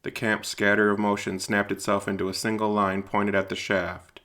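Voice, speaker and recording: A man narrating a scripted audio drama in a measured, deliberate, literary way. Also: on the low side, completely flat delivery, fairly bright tone. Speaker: narrator and writer-performer.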